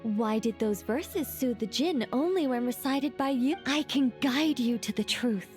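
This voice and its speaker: High-voiced, playful ageless angel. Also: female voice, measured pace, a little mellow, soft background music. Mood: reassuring, knowing. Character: ageless, playful, self-assured female angel with easy airs. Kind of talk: video game voice acting.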